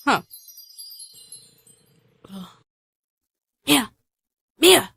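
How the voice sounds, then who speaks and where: A woman's voice: high voice, very animated, extremely slow, creaky, a bit bright, slightly rough, no echo, clean studio sound, exaggerated voice. A woman playing a bold, teasing young lover in a modern radio drama, with a wry, impatient manner. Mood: curious, impatient. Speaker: radio play actor.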